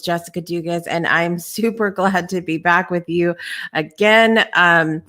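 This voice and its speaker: A woman hosting a live podcast, talking in a casual, candid way with lots of ums and restarts, her voice hoarse and lower than usual. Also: fairly bright tone. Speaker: podcast host. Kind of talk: casual live-show chat.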